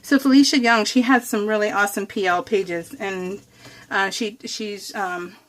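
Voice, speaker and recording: A woman's voice: medium pitch, fairly even intonation, moderate pace, rough recording. An American woman chatting casually to viewers while crafting, in a bubbly, giggly, rambling, gushing way. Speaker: craft vlogger hosting a scrapbook process video.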